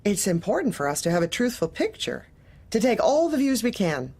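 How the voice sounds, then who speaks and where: A woman playing a manipulative, politely officious office manager who probes in a businesslike way, in a dark comedy audio drama. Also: mid-range voice, sing-song, fairly quick, husky. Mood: probing, businesslike. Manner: polite, probing, businesslike, bureaucratic.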